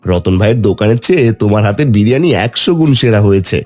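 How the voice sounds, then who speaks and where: A man playing a gentle, devout farmer father in a cartoon, speaking expressively and affectionately. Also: lowish voice, expressive, very fast, faint music behind, lightly acted. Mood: affectionate, pleased. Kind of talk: Bengali fairy-tale cartoon acting.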